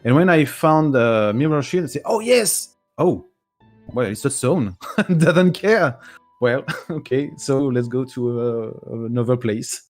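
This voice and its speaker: A man with a French accent, French his native tongue, chatting after a race as a guest, halting with many restarts and frequent laughs. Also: mid-range voice, animated, darkish tone, faint music behind. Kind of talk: casual post-race chat.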